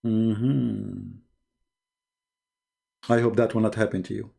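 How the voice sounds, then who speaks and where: Man, gravelly voice, sarcastic, mocking livestream host. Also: on the low side, level tone, slow, mellow. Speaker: channel host.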